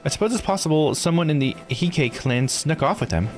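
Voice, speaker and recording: A polite, knowledgeable visual novel researcher, played by a man reading aloud, speaks in a raised, lively voice over music. The delivery is explanatory and chatty. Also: a little high, fairly quick, pitched above the performer's own voice, close to an everyday voice. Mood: helpful, musing. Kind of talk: character voice reading game dialogue.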